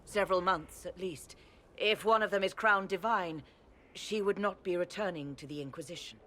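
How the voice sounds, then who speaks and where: A woman's voice: lowish voice, normal speed, fairly bright tone, a little hiss or hum, natural voice. An actress playing a solemn, insistent senior churchwoman in a fantasy video game, formal and pressing in her address. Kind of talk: video game cutscene acting.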